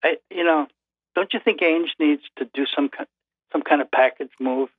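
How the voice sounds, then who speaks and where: An older man calling in by phone as the host's father, talking slowly and haltingly in a dry, reserved way. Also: a little high, slight background noise. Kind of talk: casual phone chat. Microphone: phone call. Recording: tinny phone line.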